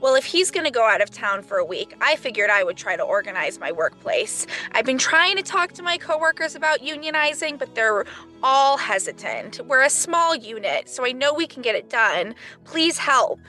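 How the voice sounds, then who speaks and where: Woman plays exasperated caller, high, bright, very animated, pleading. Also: normal speed, soft background music. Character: fed-up young female office worker caller. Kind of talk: scripted comedy sketch.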